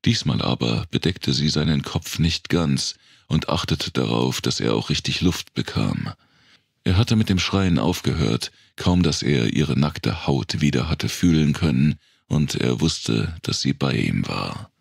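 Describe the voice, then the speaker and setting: A man with a gravelly, husky voice reading scripted audiobook narration of a dark thriller. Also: very deep voice, expressive, unhurried, dry recording, studio quality.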